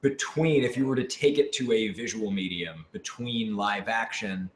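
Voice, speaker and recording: A man's voice: lowish voice, moderate pace. A man talking casually in a group discussion as one of a show's cast and producers. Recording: echoey room, faint background noise.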